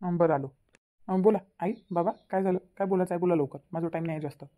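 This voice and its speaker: A woman's voice: lowish voice, warm tone, natural voice. A woman voicing an impatient young adult son in a cartoon, speaking in a hurry with a lilt. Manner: hurried, impatient. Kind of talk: cartoon voice acting.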